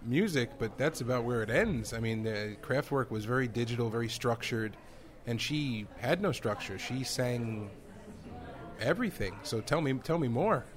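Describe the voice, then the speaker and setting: A man's voice: mid-range voice, animated, moderate pace. A man co-hosting a casual interview who jumps in eagerly. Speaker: co-host.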